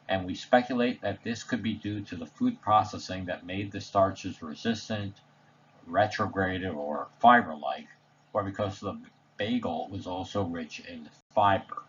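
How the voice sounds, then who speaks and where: A man reading a scripted slide presentation voice-over in a measured, formal, technical manner. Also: sits low, lo-fi sound, slight background noise. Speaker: presenter narrating study results.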